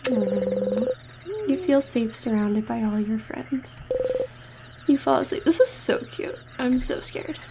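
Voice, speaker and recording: High-pitched woman streamer, slightly creaky. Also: lively intonation, takes it slowly, noisy background. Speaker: streamer playing a story RPG. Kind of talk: let's play commentary and game text read aloud.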